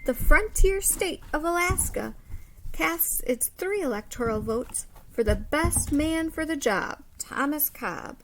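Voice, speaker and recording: An earnest schoolchild from a storybook, acted out by a woman, makes a formal announcement with pride. The voice is high with a little creak, stretching key words as if declaiming to a hall. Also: animated, a little hiss or hum, full character voice. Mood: proud, formal. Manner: proud, declamatory, drawn-out announcing.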